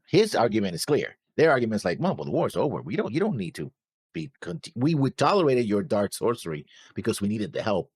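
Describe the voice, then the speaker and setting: A man co-hosting a reaction show, chatting casually and rephrasing as he argues his points in a halting way. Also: medium pitch, slightly rough, no echo, studio quality. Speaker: co-host of an anime reaction show. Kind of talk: casual discussion.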